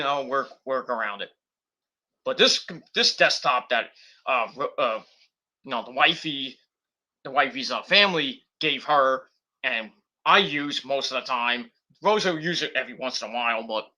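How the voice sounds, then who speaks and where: A man's voice: fairly high pitch, sharp tone. A man in his forties rambling casually at home, with many fillers, as he corrects himself mid-sentence. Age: 40s. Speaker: YouTuber. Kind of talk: rambling casual vlog chat. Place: home, at a desktop computer.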